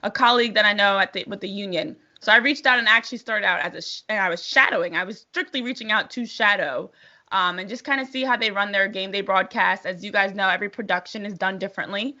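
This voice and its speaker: A woman's voice: medium pitch, fast, bright, forceful tone, muffled recording. An American woman, a sports broadcaster being interviewed, telling her story in an articulate, positive, conversational way. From New Jersey, United States.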